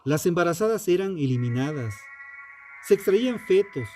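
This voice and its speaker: A man narrates a scripted true crime piece in a solemn, dramatic and deliberate manner. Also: high voice, lively intonation, mellow. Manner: solemn, dramatic, deliberate phrasing with rhetorical questions. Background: music.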